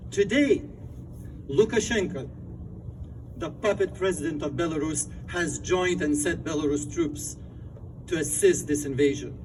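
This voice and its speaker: A man's voice: high-pitched, a little mellow, far from the mic, a little hiss or hum, low-quality recording. A man from Lithuania with a Lithuanian accent, giving an impassioned speech at an outdoor rally with fervent, emphatic phrasing. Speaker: rally speaker.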